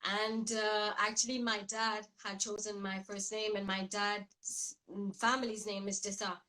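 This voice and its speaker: A woman who grew up in Spain, a rapper chatting casually as an interview guest, animated and laughing often. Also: mid-range voice, unhurried, rough recording. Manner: animated, frequent likes and laughs.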